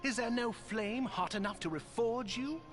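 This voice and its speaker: An actor playing an urbane gentleman mentor in a fantasy game, florid and formal, goading yet encouraging. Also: extremely high pitch, normal speed. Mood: goading encouragement. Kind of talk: scripted fantasy game voice acting. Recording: music clearly behind the voice.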